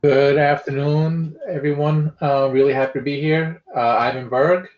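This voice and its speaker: A man from New York introducing himself on a video call, friendly and measured. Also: fairly high pitch, steady tone, darkish tone. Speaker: vice president. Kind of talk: casual self-introduction. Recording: dull, echoey room sound.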